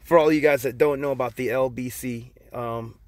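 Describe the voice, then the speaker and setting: A man's voice: medium pitch, steady tone, moderate pace, slight background noise. Middle-aged man, casual talk. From Long Beach, California. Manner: conversational, many fillers and restarts, loose and storytelling. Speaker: channel host. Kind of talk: casual monologue to viewers.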